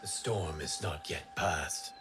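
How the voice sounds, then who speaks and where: An actor playing a calm, earnest wind god in a video game, measured and formal. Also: male voice, very deep voice, loud music behind the voice. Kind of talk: dramatic video game acting.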